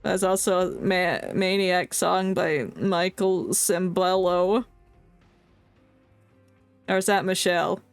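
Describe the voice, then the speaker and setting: A woman's voice: on the low side, takes it slowly, long pauses, clear, resonant tone, dry recording, faint music behind. A woman streaming a game with casual, playful, joking commentary. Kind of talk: casual live gameplay commentary.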